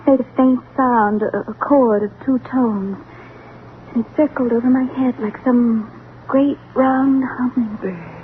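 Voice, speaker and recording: A woman's voice: fairly high pitch, a little slow, close to an everyday voice. An actress playing a dreamy, guarded young woman in a vintage radio drama, wistful and reticent. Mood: awed, dreamy. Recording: tinny, noisy vintage radio recording.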